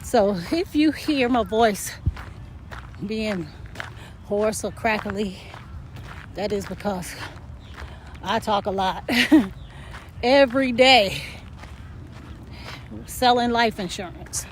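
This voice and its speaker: An older woman chatting casually while walking uphill outdoors, a bit hoarse and winded, rambling with lots of fillers. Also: mid-range voice, slow pace, long pauses, slight background noise. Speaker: vlogger. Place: outdoors in a park field.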